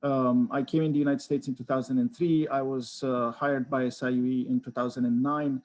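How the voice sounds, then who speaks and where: A man from Romania, a Romanian speaker, introduces himself as a professor on a video call from home. He speaks in a measured way with frequent uh fillers. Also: medium pitch, little pitch movement, a little mellow. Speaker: associate professor.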